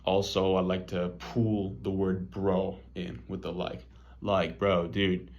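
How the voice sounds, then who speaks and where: A man's voice: low voice, level tone, slow pace, darkish tone, highs cut off. A young man from Los Angeles with an LA accent, giving a casual class presentation, loose and slangy with lots of fillers. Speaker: student presenter.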